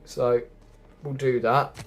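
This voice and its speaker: A man's voice: mid-range voice, level tone, takes it slowly, slight background noise. A man giving a casual, chatty gameplay walkthrough, explaining things directly to viewers as a video host.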